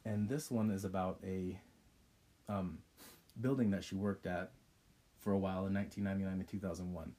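A man co-hosting a casual podcast, his voice hoarse from being sick, who swears casually. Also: low-pitched, steady tone, a little fast, long pauses, mellow. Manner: curses casually. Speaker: podcast co-host.

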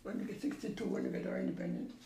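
A woman in her eighties with a Jamaican accent, recalling her past in an unhurried way that repeats phrases and trails off. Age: 80s. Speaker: interviewee. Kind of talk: casual recollection. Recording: roomy recording with faint background noise.

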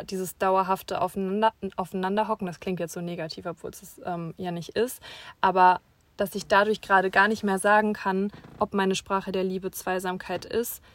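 Eine junge Frau, die als Co-Gastgeberin locker mit ihrem Partner plaudert, zögernd und mit vielen Neuanfängen. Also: female voice, mid-range voice, no room sound. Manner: hesitant, many restarts and fillers. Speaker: podcast co-host. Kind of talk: casual couple chat. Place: small camper van.

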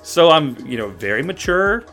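A man who is a guest on a podcast, telling stories in a rambling, halting way. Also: fairly high pitch, very animated, a bit bright, faint music behind. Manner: rambling storyteller, halting restarts. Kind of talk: casual podcast chat.

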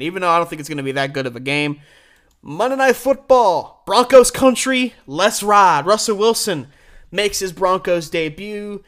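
A man's voice: sits high, pushed and bright. A man hosting a live sports show, giving opinionated, conversational commentary on game picks and punching key words.

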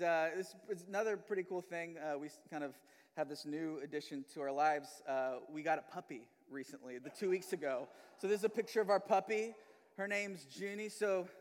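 High-voiced young pastor in a reverberant hall. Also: male voice. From Philippines. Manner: chatty, filler-heavy, self-deprecating. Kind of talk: casual sermon opening. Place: church hall.

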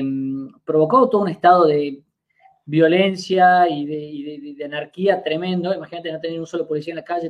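A man in his twenties with an Argentine accent, a podcast guest telling a long story in a casual, hesitant way, full of fillers and restarts. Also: on the high side. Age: 20s. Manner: hesitant, many fillers and restarts while recounting.

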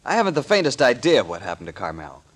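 Earnest contrite young man, very expressive. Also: mid-range voice, fast. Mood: apologetic. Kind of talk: 1940s radio acting.

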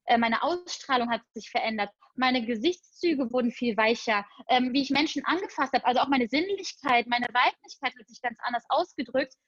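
A woman's voice: a little high, moderate pace, few pauses, a bit bright, no echo, dull audio. A young woman talking casually and enthusiastically about meditation routines, with frequent fillers. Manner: enthusiastic, spiritual, chatty with fillers. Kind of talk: casual conversation.

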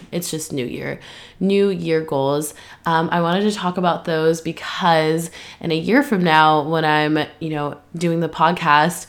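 A young woman, slightly nasal and stuffy from allergies, talking through her plans on a solo podcast, rambling with lots of "um" and "like". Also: low voice. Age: 20s. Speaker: podcast host. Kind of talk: casual solo podcast chat.

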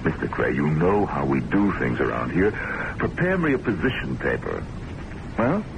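A deep, wildly swooping voice for a pompous, womanizing academic boss. He speaks in grand, patronizing sentences like a polished radio-play ladies' man. The old broadcast recording is thick with noise. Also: wildly swooping pitch, moderate pace, vocal fry, highs cut off, full character voice. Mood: smug, commanding. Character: suave, self-important, womanizing academic boss, a doctor. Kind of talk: old-time radio drama acting.

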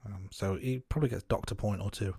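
Low-voiced man recapping a film. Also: quick pace, creaky, husky, dry recording, studio quality. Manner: steady recap of scenes, some fillers. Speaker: podcast co-host. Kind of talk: casual film review chat.

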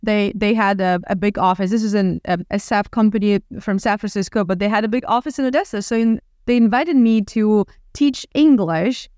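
Lively Ukrainian-accented woman, clear and dry. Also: mid-range voice, fairly quick, few pauses, no echo. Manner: lively, many restarts and fillers. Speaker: guest entrepreneur. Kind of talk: casual interview talk.

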